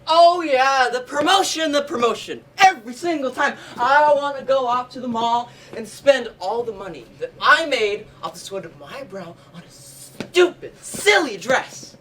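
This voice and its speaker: A woman's voice: sits high, lively intonation, a little slow, few pauses, a bit bright. Young woman acting a domineering, fault-finding spouse, far off. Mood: scornful, controlling. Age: teenager or young adult student. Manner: scornful, complaining, condescending. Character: domineering, fault-finding spouse named Sam, married two years. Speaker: student stage actor. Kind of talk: live one-act stage play. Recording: distant noisy stage recording.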